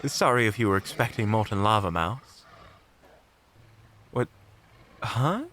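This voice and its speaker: A man's voice: on the low side, expressive, measured pace, a bit bright, slight background noise. A man playing a polite, unflappable monster hunter in an audio roleplay, speaking in a formal, prim, theatrical manner. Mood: wry. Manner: formal, prim, wryly courteous.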